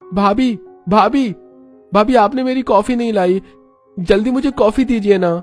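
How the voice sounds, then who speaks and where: A man voicing a lazy, cheerful young brother-in-law in a cartoon, with a boyish voice and familiar, drawn-out delivery. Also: high-pitched, a little mellow, faint music behind, voice pushed up. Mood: demanding.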